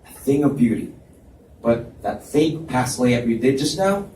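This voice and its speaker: Guy reading as teasing teen boy, low key n mellow, far from mic in echoey room, bit of noise. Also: animated, measured pace, natural voice. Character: teasing teenage boy, injured athlete turned helpful friend. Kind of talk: live dramatic reading of a story. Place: indoor reading event at a library.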